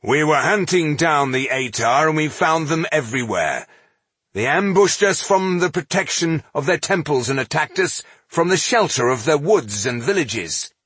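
Man voicing a haughty, callous dwarf warlord, pitched up. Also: expressive, moderate pace, fairly bright tone, husky, dry recording. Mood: self-righteous. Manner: haughty, dismissive. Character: proud, callous red-browed male dwarf warlord, self-appointed High King.

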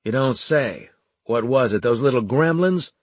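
A man's voice: medium pitch, animated, a little slow, breathy edge, dry recording, voice pushed up. A man voicing a big, brash soldier in an audiobook, laid-back, teasing and colloquial. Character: big, brash, joking male soldier, unbothered and folksy.

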